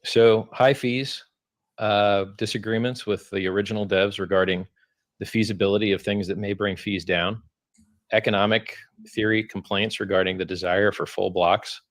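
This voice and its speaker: A man in an archived debate, hesitantly summarizing the other side's points with many filler pauses. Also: fairly low pitch, steady tone.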